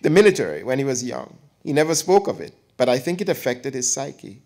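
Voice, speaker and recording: A man's voice: mid-range voice, big pitch swings, brisk, darkish tone, pitched above the performer's own voice. A man reading an audiobook, voicing an elderly aunt who teases and gossips with theatrical flair. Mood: reminiscing. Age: older. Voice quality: teasing, theatrical, lapsing into dialect.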